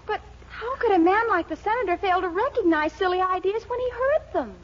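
Casting: scheming, fretful young woman, very high and animated, emphatic 1940s radio comedy acting, muffled sound. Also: a little fast. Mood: dismayed. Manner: urgent, exasperated, emphatic. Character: exasperated, scheming young woman, candidate's companion.

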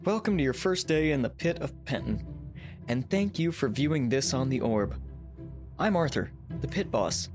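A man acting in a comedy audio drama as a pompous, exasperated boss of a death pit, with deadpan corporate patter. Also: mid-range voice, normal speed, faint music behind, natural voice. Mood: officious, cheery.

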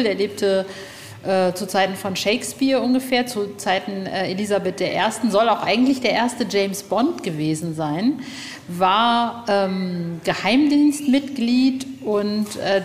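A woman chatting casually and informally as a podcast interviewer, musing aloud and asking loosely phrased questions. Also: mid-range voice. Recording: echoey hall, faint ambient noise.

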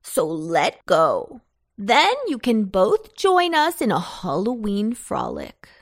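A woman's voice: mid-range voice, big pitch swings, slow, dry recording, clean studio sound, exaggerated voice. A woman voicing a jolly male pumpkin leader in a children's storybook, persuasive, wheedling and emphatic. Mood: friendly, commanding.

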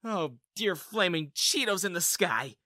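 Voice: mid-range voice, animated, a little slow, pushed and bright, dry recording, studio quality. A narrator voicing a flustered, timid, reluctant ordinary character who stammers and hesitates in storybook dialogue. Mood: panicked, reluctant. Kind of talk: audiobook-style character dialogue.

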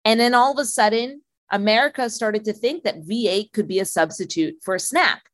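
A woman's voice: medium pitch, fairly quick, a bit bright, no room sound, studio quality. A woman co-hosting a business podcast, setting up a story with enthusiasm. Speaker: podcast co-host. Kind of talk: podcast hosting, scripted intro.

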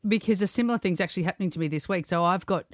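An Australian woman, a singer, chatting on a podcast in a lively storytelling way and laughing often. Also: lowish voice, rapid-fire, no echo, clean studio sound. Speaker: singer guest. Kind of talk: casual podcast chat.

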